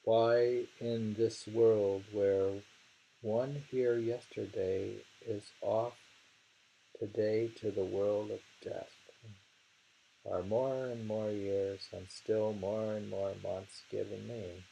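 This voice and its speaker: Slow, gravelly, very dark-voiced man. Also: lowish voice, level tone. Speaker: reader and commentator of translated poetry. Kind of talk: poetry reading with casual commentary. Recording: dull lo-fi home recording with hiss.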